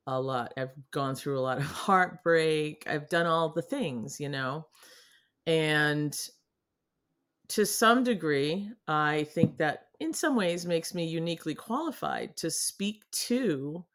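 Middle-aged woman, faint boston accent, animated, laughs a lot, video call. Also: deep voice. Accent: Boston, mostly lost. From New England. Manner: animated, laughs often, with pauses and restarts. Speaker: guest artist. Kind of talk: casual interview chat. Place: home studio in front of her paintings.

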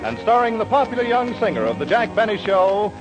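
A man's voice: high-pitched, sing-song, fairly quick, poor audio. A man announcing and narrating a 1940s radio show in a projected, theatrical manner. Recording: 1940s radio broadcast with music.

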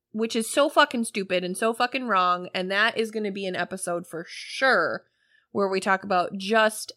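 A woman's voice: mid-range voice, fairly bright tone, dry recording, studio quality. A woman hosting a casual podcast chat, emphatic and blunt, with the odd swear word. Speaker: podcast host.

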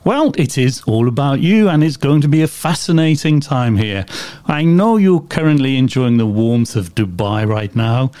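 A man's voice: medium pitch, expressive, moderate pace, mellow, clean tone. A man hosting an interview podcast, reading an enthusiastic scripted introduction before chatting with his guest. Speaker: podcast host.

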